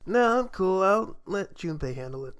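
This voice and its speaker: A man's voice: sits high, very animated, moderate pace, fairly bright tone, clear, resonant tone. A man voicing an aloof, wistful young older brother in a game fan dub, talking in a casual, reflective way.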